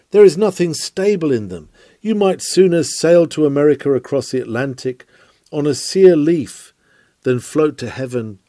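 A man's voice: medium pitch, dark tone, dry recording. A man reading an old sermon aloud in a solemn, oratorical and exhorting manner, with dramatic emphasis. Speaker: narrator reading a historical sermon.

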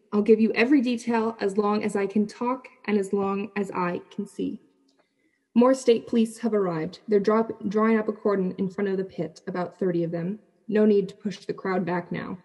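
A woman playing an on-the-spot radio news reporter at a disaster scene in a radio play, with a husky voice. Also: mid-range voice, fairly even intonation, normal speed, warm tone, rough recording. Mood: tense, watchful. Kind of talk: radio play acting, live field report.

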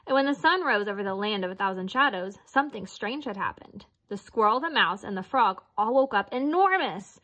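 A woman's voice: mid-range voice, animated, a little fast, hardly any pauses, a bit bright, lo-fi sound. A woman reading a children's picture book aloud as narrator. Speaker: storybook reader.

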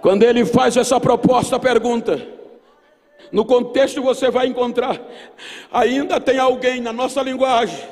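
High-pitched gravelly Brazilian preacher man. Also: fairly even intonation, moderate pace, a little mellow. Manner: emphatic, oratorical, storytelling. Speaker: pastor preaching at a youth Bible seminar. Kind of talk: Pentecostal sermon to a large congregation. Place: large church auditorium. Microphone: microphone on a podium stand. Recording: long hall reverb from PA system.

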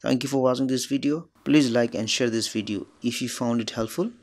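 A man's voice: medium pitch, steady tone, brisk. A man whose native language is Nepali, hosting his channel and explaining phone settings step by step in plain instructional narration. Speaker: tech tutorial channel host.

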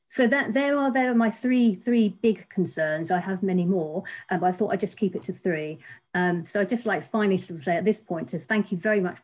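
A woman in her fifties, a legal academic, giving measured, earnest panel remarks over a video call from a small home office, with frequent restarts. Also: lowish voice, darkish tone, poor audio. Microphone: laptop webcam video call.

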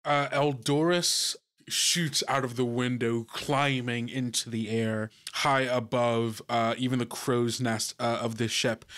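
A man working as game master, narrating a tabletop adventure in halting, deliberate phrases. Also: mid-range voice, a bit bright, smooth.

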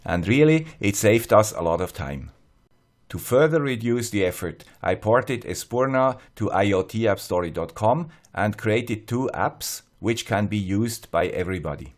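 A man with a fairly low voice and a Swiss accent narrates a scripted tutorial. He explains things step by step in a measured, plain-spoken manner. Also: lively intonation. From Switzerland. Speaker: host of an electronics tutorial channel.

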